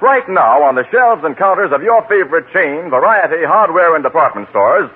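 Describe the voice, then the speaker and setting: A man's voice: on the high side, very animated, a little fast, breathy edge. An American man reading a scripted 1940s radio commercial as an announcer. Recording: muffled, narrow-band old radio recording.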